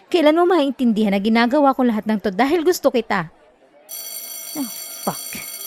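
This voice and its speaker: A Filipino woman playing a possessive, obsessive young student bully in a roleplay audio drama, condescending and taunting, with dramatic swings in delivery. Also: high voice. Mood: frustrated, jealous. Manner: condescending, taunting, dramatic swings from slow murmurs to exasperated bursts. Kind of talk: roleplay ASMR acting, direct address to listener.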